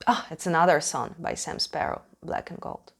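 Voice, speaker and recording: A woman from Ukraine, a native Russian speaker with a Russian accent, casually hosting a tarot reading in a halting, emphatic way, searching for words. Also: sits low, vocal fry, slightly rough. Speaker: tarot reader hosting a channel.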